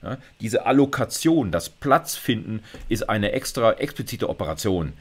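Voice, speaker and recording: A man's voice: lowish voice, expressive, moderate pace. A middle-aged man giving an informal explanatory lecture, talking conversationally with frequent little check-in tags. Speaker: university lecturer.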